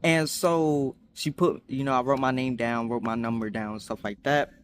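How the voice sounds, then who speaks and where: A young man chatting casually in a storytime vlog, rambling with many fillers and restarts, acting out quotes in higher voices. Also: fairly even intonation, moderate pace, slight background noise. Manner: acts out quotes with high voices. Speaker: vlogger.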